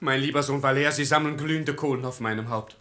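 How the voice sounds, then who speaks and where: A man's voice: medium pitch, quick pace, pushed and bright, close to an everyday voice. An actor playing a hot-tempered, blustering father who has been duped, in a radio play in verse. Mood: grateful. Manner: blustering, exclamatory.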